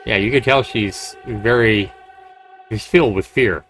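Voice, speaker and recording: A man livestreaming a horror game, reading dramatically and commenting casually, with loud game sound behind his voice. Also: lowish voice, measured pace, soft background music. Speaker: game streamer. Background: horror game audio.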